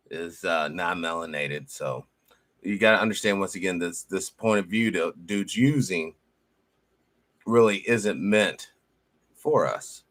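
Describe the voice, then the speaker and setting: A man's voice: low-pitched, a bit bright. A man reacting to a speech clip, giving blunt, critical rebuttals in a casual way. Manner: critical, plain-spoken rebuttals. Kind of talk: casual commentary.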